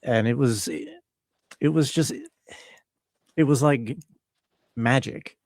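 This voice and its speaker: A middle-aged man chatting casually as an interview guest, thoughtful and halting as he searches for words. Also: medium pitch, no room sound, clean studio sound. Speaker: interview guest, composer and audio engineer. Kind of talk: casual reflective chat.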